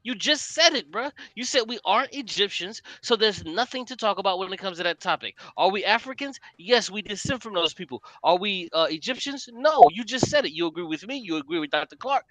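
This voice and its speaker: Man, high sharp voice, repetitive insistent arguing, dry close sound. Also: lively intonation, fairly quick, hardly any pauses, dry recording, rough recording. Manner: repetitive, pressing the same point. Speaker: podcast co-host. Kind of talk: heated casual debate.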